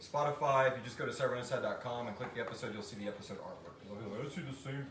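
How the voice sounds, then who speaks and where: A man's voice: mid-range voice, very fast, fairly bright tone, a little hiss or hum. A man hosting a casual live question-and-answer chat with a group. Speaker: podcast host and creator. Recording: roomy, distant mic, live group chatter.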